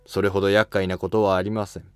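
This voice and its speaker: A man's voice: deep voice, little pitch movement, quick pace, smooth, lightly acted. A man voicing an ambitious young nobleman son in a historical drama, polite but cutting, self-assured and defiant. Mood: insistent. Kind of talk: amateur historical drama dialogue.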